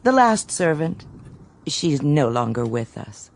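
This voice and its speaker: An actress playing a middle-aged wife in a radio drama, with music beneath. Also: female voice, deep voice, very animated, takes it slowly, a little mellow, slight background noise, exaggerated voice. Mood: complacent. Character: middle-aged lady of the house, anxious then complacent. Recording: with music underneath.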